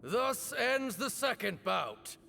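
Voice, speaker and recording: A man voicing a solemn, grandiloquent master of ceremonies in a video game, speaking formally and deliberately. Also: very high-pitched, very animated, creaky, sharp tone, a little hiss or hum, full character voice. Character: solemn older male master of a sacred duel ceremony. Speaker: game character, ceremony announcer. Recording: long hall reverb, background music.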